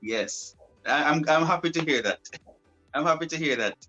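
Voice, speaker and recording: A man's voice: fairly high pitch, moderate pace, pushed and bright, muffled recording, soft background music. Man repeating himself. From Jamaica. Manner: repeats and restarts phrases. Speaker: broadcast journalist guest. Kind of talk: casual interview answers.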